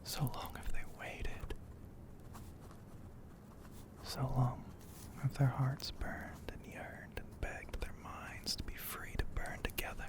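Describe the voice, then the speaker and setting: Guy whispering an asmr story up close, really slow, breathy and hushed. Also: medium pitch, monotone. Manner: slow, hushed, poetic delivery with frequent pauses. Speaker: ASMR audio narrator.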